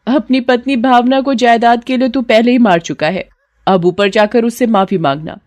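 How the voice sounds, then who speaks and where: Casting: woman narrator as a rude, conniving young villainess for a moral storybook, bossy and taunting, voice pitched up, music underneath. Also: normal speed, a little mellow, natural voice. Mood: menacing, gloating. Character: scheming, rude young woman impostor posing as daughter-in-law.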